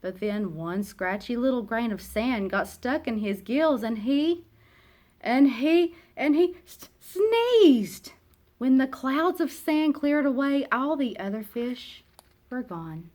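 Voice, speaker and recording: A woman narrating a children's picture book aloud in an expressive, dramatic way, with emphatic pauses and hushed phrase endings. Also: high voice. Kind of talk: expressive storybook read-aloud.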